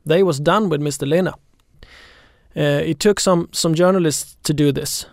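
A man's voice: fairly high pitch, normal speed, no echo, studio quality. A Swedish-accented man, an investigative reporter, giving an account in an interview.

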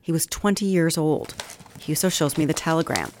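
A woman's voice: sits low, animated, normal speed. An American woman narrating a scripted radio news feature as a reporter. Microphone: close studio microphone.

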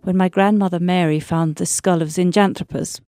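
A woman's voice: fairly low pitch, steady tone, normal speed, dark tone, soft background music. A woman paleontologist being interviewed, explaining in a thoughtful way. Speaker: paleontologist guest.

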